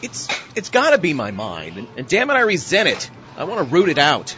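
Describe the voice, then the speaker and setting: A man's voice: fairly high pitch, wildly swooping pitch, brisk, lo-fi sound, noisy background. A man playing an anguished, self-doubting husband in an audio drama, stammering and sighing. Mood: distraught. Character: anguished husband losing his senses, frantic and self-doubting.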